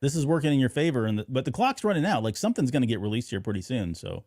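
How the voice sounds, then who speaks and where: A man's voice: mid-range voice, sing-song, very fast, a little mellow, dry recording, studio quality. A man hosting a podcast in a casual, conversational fan-chat style. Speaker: podcast host.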